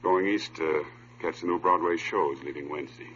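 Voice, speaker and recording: An actor playing a laconic, streetwise underworld informant in a 1950s radio crime drama, talking in terse, offhand slang. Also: male voice, very deep voice, moderate pace, a bit bright. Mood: guarded, offhand. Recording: muffled old radio recording, faint hiss.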